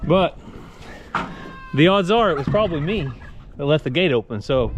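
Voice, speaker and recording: A man with a fairly high voice talks casually in a plain, folksy way, as if to family viewers of a farm vlog. The recording is handheld, with some background noise. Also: expressive, normal speed, lo-fi sound. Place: outdoors on a farm.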